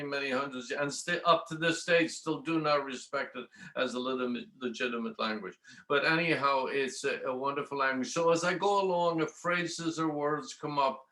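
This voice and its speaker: A man's voice: mid-range voice, sharp tone. A man, a storyteller and folk singer talking earnestly to a live audience, with fillers and repeated words. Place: public library event room. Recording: echoey room.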